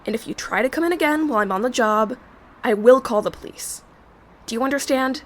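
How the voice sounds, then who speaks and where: A woman's voice: a little high, a little fast, close to an everyday voice. A woman acting in an audio drama as a young night security worker, reserved and hesitant but firm. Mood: stern. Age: young adult.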